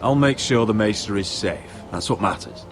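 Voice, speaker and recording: A man playing a resolute, protective young nobleman in a fantasy video game, speaking in a measured, terse, grave way. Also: lowish voice, soft background music, natural voice. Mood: firm, determined. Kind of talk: dramatic video game acting.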